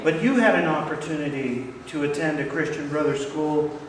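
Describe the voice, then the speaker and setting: A man hosting an onstage interview, speaking earnestly and haltingly with many fillers. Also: on the high side, slight background noise. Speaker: interviewer, event host. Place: large hall. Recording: echoey hall from far off.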